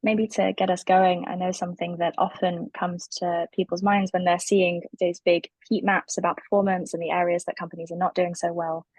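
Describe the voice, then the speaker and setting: A woman's voice: on the low side, little pitch movement, moderate pace, hardly any pauses, darkish tone, no echo, rough recording. A woman hosting a webinar who is losing her voice, moderating the question session in a friendly way. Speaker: webinar host. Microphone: video call.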